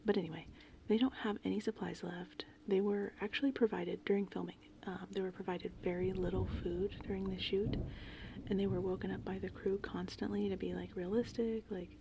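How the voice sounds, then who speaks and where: A woman doing casual, meandering ASMR in a breathy, whispered and softly spoken voice. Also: mid-range voice, slight background noise. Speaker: ASMR host. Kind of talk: ASMR rambling movie summary. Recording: steady rain and thunder ambience behind. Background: gentle rain and thunderstorm sounds.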